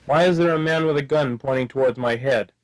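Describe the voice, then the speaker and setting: A man's voice: medium pitch, brisk, lightly acted, rough recording. A man playing a naive, polite and rather dim hero in a comic radio play, speaking in a guileless, earnest way. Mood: bewildered, compliant. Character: naive, polite, dim-witted male hero held as patient.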